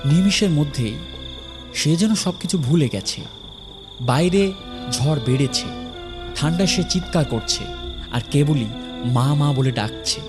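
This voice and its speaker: A man narrating a horror story from a script, dramatically, in short phrases with pauses. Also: a little high, animated, over music.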